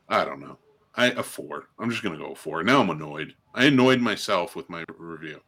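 A man's voice: deep voice, big pitch swings, normal speed, gravelly, a bit bright. A middle-aged man chatting casually about films on a podcast, ranting in exasperation and swearing freely. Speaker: film podcast panelist. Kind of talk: casual profane film chat.

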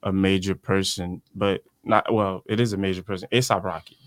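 Young man, from Haiti, lowish voice, dry sound, hesitant, repeats words. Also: flat delivery, moderate pace, no echo. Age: young adult. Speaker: guest, aspiring model. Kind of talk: casual interview answers.

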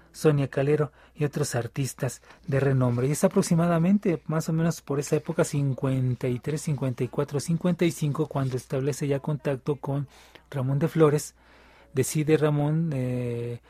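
A man hosting a radio music program, reading aloud from a book in a measured way with filler pauses. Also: mid-range voice, dark tone, no echo. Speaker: radio host.

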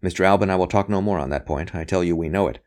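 A man's voice: low voice, rapid-fire, darkish tone, close to an everyday voice. A man voicing a relentless official interrogator in an audiobook, insistent and coolly impatient, pressing with clipped questions.

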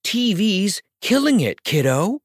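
Man acting out a pleading showbiz agent, swooping pitch. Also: big pitch swings, slow pace, husky, no room sound, clean studio sound, exaggerated voice. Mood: unrelenting, blunt. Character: fast-pleading male talent agent, cajoling and exasperated. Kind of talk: audiobook dialogue acting.

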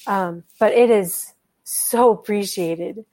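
A woman guest on a podcast talks in an earnest, thoughtful way. Her voice sits at a middle pitch for a woman, and a soft laugh comes through now and then. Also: slow pace. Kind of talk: conversational interview.

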